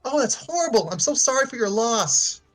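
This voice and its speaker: A man voicing a polite, earnest young female pony in a halting, girlish way. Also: extremely high pitch, big pitch swings, a bit bright, faint music behind, pitched above the performer's own voice, exaggerated voice. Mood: shocked, sympathetic.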